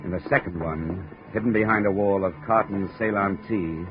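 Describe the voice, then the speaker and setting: Low-voiced hard-boiled American radio detective, acted by a man. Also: normal speed, over music, close to an everyday voice. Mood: matter-of-fact narration. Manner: hard-boiled, needling, clipped. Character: tough, wisecracking male insurance investigator narrating his case. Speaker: lead actor and narrator. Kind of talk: 1950s radio detective drama acting. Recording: muffled, noisy 1950s radio recording.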